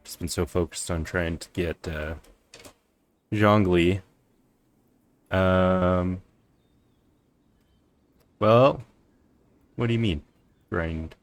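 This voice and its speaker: A man's voice: low-pitched, drawn-out words, long pauses. A man doing casual game commentary as a streamer, chatting in an informal, rambling way. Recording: faint game music behind.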